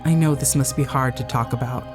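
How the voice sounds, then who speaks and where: A woman voicing an earnest, anxious young man in a horror visual novel, halting when alarmed. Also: a bass voice, music in the background, voice pushed down. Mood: earnest, supportive.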